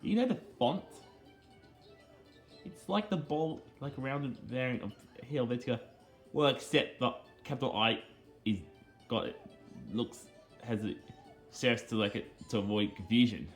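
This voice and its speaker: A man with a mid-pitched voice talks casually over video game cutscenes. He rambles in a halting way, repeating and restarting phrases as he earnestly explains small details. Game music plays softly behind him.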